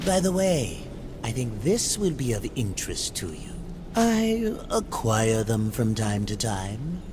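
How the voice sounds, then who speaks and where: An actor voicing a sly, scheming demon merchant in a video game, with an oily, theatrical, insinuating delivery. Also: male voice, mid-range voice, big pitch swings, over music. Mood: sly bargaining. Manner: slow, drawn-out, insinuating. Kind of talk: video game cutscene acting.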